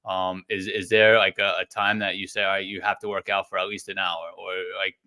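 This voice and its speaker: Bright, low-voiced middle-aged podcast host. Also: male voice, a little fast. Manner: relaxed, rambling, frequent fillers and restarts. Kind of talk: casual podcast interview.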